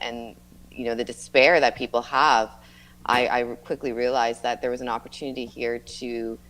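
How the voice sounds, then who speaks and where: A middle-aged woman with a strikingly low voice for a woman. She speaks as a local political candidate, answering interview questions in an earnest, conversational way. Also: low-quality recording. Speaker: mayoral candidate being interviewed.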